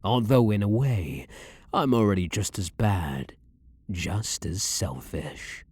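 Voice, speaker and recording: A man playing a blunt, brooding vampire hunter, himself a vampire, in a measured, dominant ASMR roleplay with a breathy voice. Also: fairly low pitch, expressive, darkish tone, full character voice. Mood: hungry, self-loathing. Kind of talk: ASMR roleplay, dramatic monologue.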